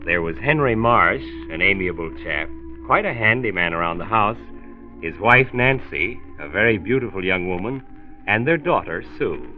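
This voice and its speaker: Actor as folksy radio doctor, tinny vintage sound. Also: male voice, fairly low pitch, expressive, moderate pace, soft background music, lightly acted. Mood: folksy storytelling. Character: folksy, kindly county doctor narrating a story. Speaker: narrator host. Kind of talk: 1940s radio scripted narration. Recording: tinny 1940s radio transcription recording.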